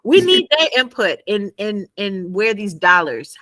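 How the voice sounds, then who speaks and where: Woman, bright voice, lower pitch, dry close sound, animated storyteller, laughs a lot. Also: unhurried, no echo. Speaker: guest, former math teacher. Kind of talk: conversational interview.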